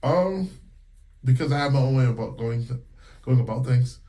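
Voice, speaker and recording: A man's voice: mid-range voice, moderate pace. A man in his thirties from Connecticut, a singer and music teacher, talking candidly in a casual interview with many fillers. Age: 30s. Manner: relaxed and candid, many fillers and quoted retellings.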